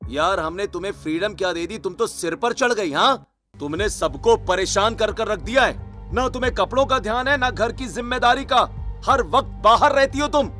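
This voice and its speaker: A man's voice: sits high, normal speed, pushed and bright, soft background music, pitched above the performer's own voice. A man voicing an exasperated young husband in a cartoon story, scolding and pleading. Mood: annoyed.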